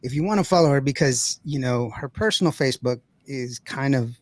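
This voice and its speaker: A raspy-voiced man hosting a podcast in a casual, rambling way, often repeating words as he goes. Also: mid-range voice, a little fast, no echo. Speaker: podcast host. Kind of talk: casual chat.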